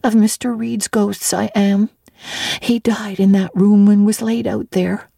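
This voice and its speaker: A woman's voice: medium pitch, flat delivery, measured pace, gravelly, warm tone, no echo, studio quality, pitched above the performer's own voice. A woman voicing a miserable, defiant orphan girl of about nine in a dramatic book reading, blunt and pleading. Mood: frightened, wounded.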